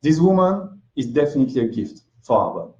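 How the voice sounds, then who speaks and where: A man's voice: mid-range voice, sing-song, brisk, a little hiss or hum. A man formally introducing a keynote speaker, full of praise. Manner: warm and praising. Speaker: event presenter. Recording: echoey and dull.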